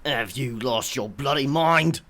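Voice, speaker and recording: An actor playing an angry, gruff pirate quartermaster in an audio drama, scolding and grumbling bluntly. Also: male voice, medium pitch, measured pace, noisy background, close to an everyday voice. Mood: furious. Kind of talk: full-cast audio drama acting.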